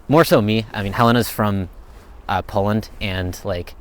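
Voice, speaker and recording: A man's voice: lowish voice, normal speed. A young man answering viewer questions in a casual, rambling chat, thinking aloud as he goes. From United States. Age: young adult.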